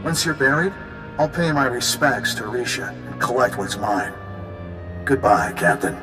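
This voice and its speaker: An actor playing a smug, mocking space rogue villain in a video game, glib and sarcastic. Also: male voice, mid-range voice, big pitch swings, a little slow. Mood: gloating. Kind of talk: video game cutscene acting. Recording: echoey long reverb under music.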